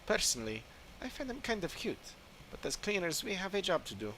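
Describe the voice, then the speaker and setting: A man's voice: high-pitched, sing-song, fairly quick, a bit bright, slight background noise, pitched above the performer's own voice, poor audio. A man voicing a friendly, businesslike pest-control cleaner in video game dialogue, explaining things with light animation. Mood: friendly, explanatory. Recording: game music behind the voice.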